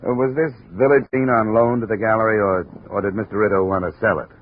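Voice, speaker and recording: An American actor playing a brisk, wry male insurance investigator in a 1950s radio detective drama. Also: on the low side, fairly even intonation, slight background noise, close to an everyday voice. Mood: businesslike. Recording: tinny 1950 radio recording.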